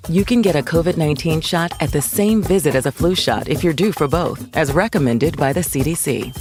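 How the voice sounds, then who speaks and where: An American man reading a scripted health advert as a voice-over. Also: on the high side. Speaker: commercial voice-over.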